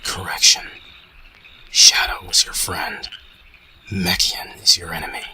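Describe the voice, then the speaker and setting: A man's voice: low-pitched, flat delivery, unhurried, sharp tone, in a whisper, full character voice, poor audio. A man playing a formal, dutiful cyborg robot in an amateur radio drama, speaking in stilted machine-like phrases. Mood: cold, formal.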